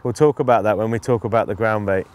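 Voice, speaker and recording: A middle-aged man giving relaxed fishing instruction outdoors, in unhurried, halting phrases as he works. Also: fairly low pitch, soft background music. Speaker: angling instructor, presenter. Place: outdoors on an open lakeside bank.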